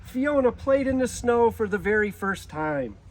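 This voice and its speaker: A man reading a children's storybook aloud in a deliberate manner, occasionally stumbling over a word. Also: high-pitched, animated. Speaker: zoo director reading a storybook.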